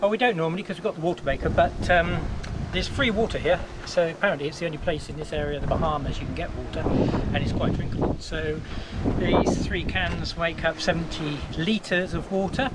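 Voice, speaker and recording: A man's voice: a little high, a little fast, very noisy. A man in his sixties casually explaining chores on his catamaran in a vlog. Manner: chatty, explains tasks while working. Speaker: sailing vlogger. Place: on a catamaran and ashore, outdoors.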